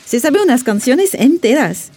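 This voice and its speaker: A woman's voice: high voice, big pitch swings, measured pace, warm tone, husky, full character voice. An actress playing a guarded, earnest French woman journalist in a radio drama. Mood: worried.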